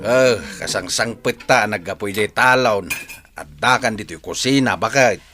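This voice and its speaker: A man's voice: fairly low pitch, unhurried, music in the background, lightly acted. An actor playing a strict, grumbling farmer father who nags in exasperation in an Ilocano radio drama. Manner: nagging, exasperated.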